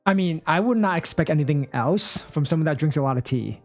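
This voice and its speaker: A man's voice: on the high side, expressive, quick pace, soft background music. A man giving informal, exclamatory live reaction commentary as he plays a game. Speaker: streamer.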